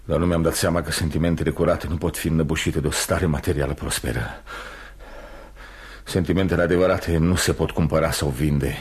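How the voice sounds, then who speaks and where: A man's voice: rumbling low, breathy edge, exaggerated voice. An actor playing a drunk young man, romantic and bitter with guilt, in theatrical, wavering radio drama style. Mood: bitter remorse. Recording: music playing behind the voice.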